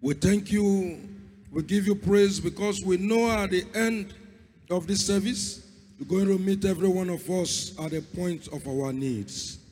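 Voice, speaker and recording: A hoarse man preaches a sermon in a church hall, and his strained voice sits high. He speaks with fervor and calls on God again and again. His words ring out with a long reverb around the room. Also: measured pace, darkish tone. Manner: fervent, repeats calls to God. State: hoarse, lost voice. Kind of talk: sermon with opening prayer.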